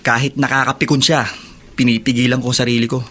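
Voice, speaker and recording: A man's voice: mid-range voice, level tone, quick pace, a bit bright, lightly acted. A man playing an earnest, emphatic young prisoner set on reform in a radio drama. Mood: earnest resolve. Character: earnest young male inmate determined to reform himself. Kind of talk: Filipino radio drama acting. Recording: faint background noise and ambience.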